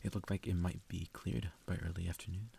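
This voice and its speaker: A man doing a soft ASMR reading of a story, sometimes stumbling over harder words. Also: low-pitched, steady tone, fairly quick, creaky, husky. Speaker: ASMR creator reading a visual novel aloud.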